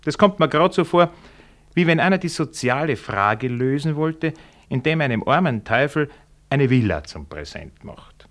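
An actor playing a worldly, genially cynical senior civil servant with an Austrian accent and a dry, ironic manner, in a radio play. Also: male voice, mid-range voice, big pitch swings, normal speed, a bit bright. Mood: wry, lecturing. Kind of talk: German radio play acting.

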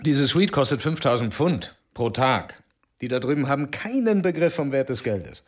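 Casting: man acting worldly moneyed fixer, husky voice, casual confidence, radio thriller dialogue. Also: mid-range voice, animated, normal speed, close to an everyday voice. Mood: probing. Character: worldly, money-minded male fixer with big connections. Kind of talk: German radio drama dialogue.

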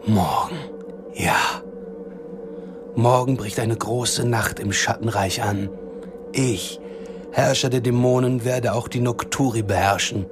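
An actor playing a gloating, sinister ruler of demons in a radio drama, speaking in a slow, grandiose, menacing manner. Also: male voice, mid-range voice, music in the background, lightly acted. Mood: gloating menace.

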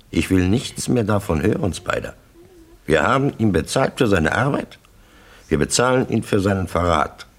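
Abgrundtief knarrender, bedrohlicher Gangsterboss. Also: male voice, low voice, full character voice. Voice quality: gravelly. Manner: terse, commanding, deliberate. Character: calm, menacing male crime syndicate boss. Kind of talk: German radio crime drama acting.

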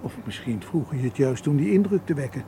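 A sober, protective man played by an actor who speaks in a measured, admonishing way, as in a radio drama. His pitch is average for a man, with faint noise behind him. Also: lively intonation, close to an everyday voice. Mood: sober, doubtful. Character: cautious, protective male colleague, sober and worried. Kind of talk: Dutch radio drama acting.

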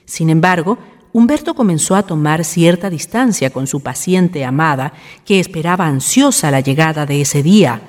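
A woman's voice: sits low, animated, moderate pace, a little mellow. A woman with a Chilean accent reading scripted narration for a radio drama. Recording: music bed behind narration.

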